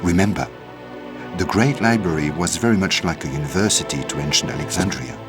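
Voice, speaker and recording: An actor playing a worldly, anxious ally in a video game, with a measured, formal, cautionary manner. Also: male voice, low-pitched, lightly acted. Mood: cautionary, urgent. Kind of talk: video game cutscene acting. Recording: game music behind the voice.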